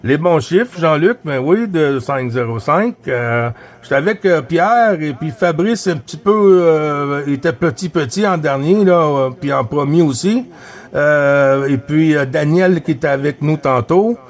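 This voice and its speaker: Male CB radio operator, Quebec French accent, fairly high-pitched, chatty and friendly, loops back on phrases and call codes. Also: animated, a little slow. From Quebec, Canada. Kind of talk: casual radio chat.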